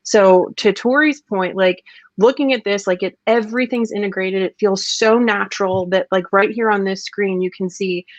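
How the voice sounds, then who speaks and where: A woman's voice: medium pitch, fairly even intonation, moderate pace, dry recording. Woman hosting, dry slightly dull audio. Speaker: webinar host. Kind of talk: casual guided webinar talk.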